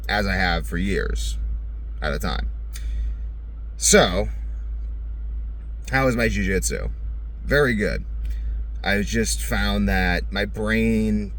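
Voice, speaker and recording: A man giving a casual, rambling vlog monologue in a blunt, profane, joking manner, often acting out imagined dialogue. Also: lowish voice. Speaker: vlogger. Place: vehicle. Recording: noticeable vehicle noise.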